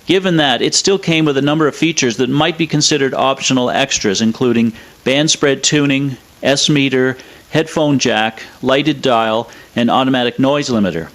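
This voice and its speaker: A man's voice: medium pitch, moderate pace, a bit bright. Matter-of-fact man narrating a technical explainer. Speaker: presenter of an electronics review.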